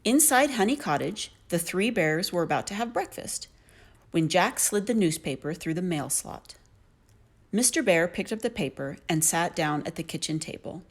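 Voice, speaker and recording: A woman narrating a children's story in an expressive storytelling manner. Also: on the low side, normal speed.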